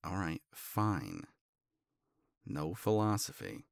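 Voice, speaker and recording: A man playing a tender, teasing older boyfriend in an intimate romance ASMR roleplay, murmuring in a halting, playful way. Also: deep voice, very animated, vocal fry, no echo, studio quality, full character voice. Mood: playful exasperation. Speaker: audio roleplay voice actor.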